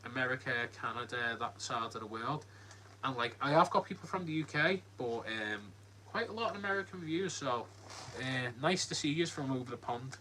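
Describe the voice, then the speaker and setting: A man's voice: medium pitch, moderate pace, sharp tone, a little hiss or hum, low-quality recording. A man with a Liverpool accent hosting a casual live Q&A, rambling and friendly as he reads out the chat. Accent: Liverpool (Scouse). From Liverpool, England. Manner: rambling, many ums and likes, friendly. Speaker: livestream host. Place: home, lit by a ring light.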